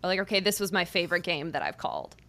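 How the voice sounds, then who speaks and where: Female podcast host and sports reporter, lowish pitch, conversational interviewer. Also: very fast, bright, forceful tone. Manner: conversational questions with restarts.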